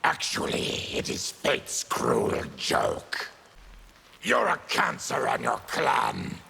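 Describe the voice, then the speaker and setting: An actor playing an afflicted, embittered mutant warrior in a video game, with a gravelly growl and grim, deliberate, menacing delivery. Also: male voice, medium pitch, huge pitch swings, sharp tone, exaggerated voice. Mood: bitter. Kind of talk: video game character dialogue. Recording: clear background noise with clicks.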